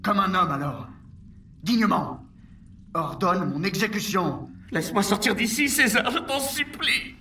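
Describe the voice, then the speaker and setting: An actor playing a desperate war prisoner, once a proud chief, pleading in an agitated, theatrical way in a French audio drama. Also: male voice, sits high, expressive, unhurried, sharp tone, reverberant, recorded from a distance, slight background noise, exaggerated voice.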